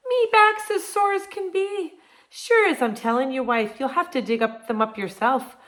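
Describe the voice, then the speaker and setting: A man's voice: very high voice, moderate pace, fairly bright tone, pitched above the performer's own voice. A woman voicing a lazy, melodramatic Irish man who whines and wails with self-pity, in an Irish accent. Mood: whining. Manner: whiny, wailing, self-pitying.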